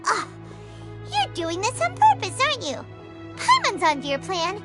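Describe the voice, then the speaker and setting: A woman voicing a tiny, excitable floating girl companion in a video game who talks about herself in the third person. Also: normal speed, exaggerated voice. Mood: suspicious. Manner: speaks of herself in third person, squeaky and bouncy. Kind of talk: video game cutscene dialogue. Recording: game audio over loud music.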